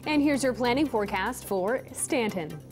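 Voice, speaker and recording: A woman's voice: fairly high pitch, expressive, normal speed, faint music behind. An American woman reading scripted local television news as an anchor. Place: TV news studio.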